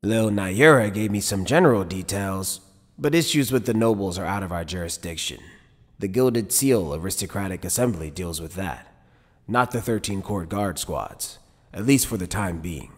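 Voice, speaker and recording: Anime commander male actor: older, mild, playful and unhurried, lowish pitch, long hall reverb on the voice. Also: lively intonation. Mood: measured concern. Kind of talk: anime fan dub acting.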